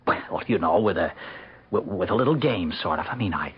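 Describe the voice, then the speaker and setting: A nervous, embarrassed husband in a vintage radio play. His voice is husky and swings about in pitch as he stammers and hesitates. The sound is dull and lo-fi, like an old broadcast. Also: lively intonation, fairly quick, heavily acted. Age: 40s. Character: embarrassed, anxious forty-year-old husband confiding in his doctor. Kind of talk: 1970s radio drama acting.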